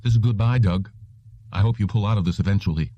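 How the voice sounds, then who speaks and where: A man's voice: on the low side, quick pace, dry recording, dull audio, lightly acted. A man voicing a cold, fed-up wife in an audiobook, speaking in a level, controlled way.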